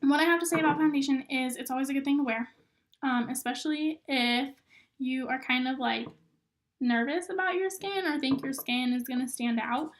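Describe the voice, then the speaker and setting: A young woman recovering from illness and a little hoarse, chatting casually through a makeup tutorial with lots of "um" and "like.". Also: sits high, normal speed. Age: young adult. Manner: chatty, filler-heavy, lots of "um" and "like". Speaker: rodeo queen giving makeup tips.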